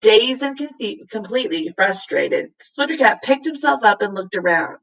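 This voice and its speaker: A woman's voice: medium pitch, big pitch swings, moderate pace, gravelly, a bit bright, distant mic, low-quality recording. A woman reading a children's story aloud with dramatic expression, as a teacher does for a class. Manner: dramatic, acts out the characters' lines.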